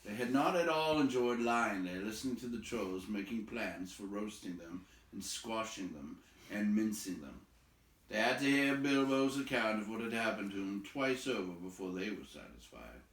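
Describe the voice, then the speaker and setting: A man reading a fantasy story aloud as an expressive storyteller. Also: fairly low pitch, normal speed, echoey room, poor audio.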